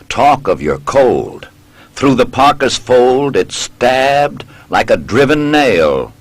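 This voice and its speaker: A man recites narrative verse at a slow, deliberate pace. His delivery is dramatic and story-driven, with line endings stretched out. The sound is dry and close, with very little room. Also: medium pitch, no room sound. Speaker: poem reader.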